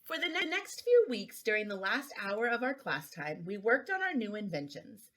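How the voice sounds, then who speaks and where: A woman reads a children's story aloud. Her mid-pitched voice moves in very lively swings as she narrates. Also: moderate pace. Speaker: school administrator reading aloud.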